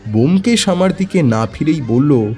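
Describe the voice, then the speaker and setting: A man narrating an audio story in the first person, in a dramatic, expressive storytelling style. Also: on the high side, moderate pace, faint music behind.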